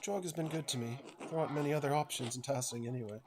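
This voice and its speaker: A frank young wife from a peasant household , voiced by a man reading a story game. The manner is pert and blunt. The recording is clearly noisy. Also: mid-range voice, rapid-fire, close to an everyday voice. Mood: matter-of-fact.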